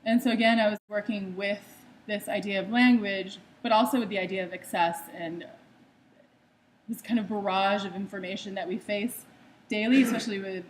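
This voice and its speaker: A woman's voice: mid-range voice, fairly even intonation, normal speed, a little hiss or hum, poor audio. A young woman from the United States giving a slide talk about her own art. She speaks conversationally, with frequent ums and uhs.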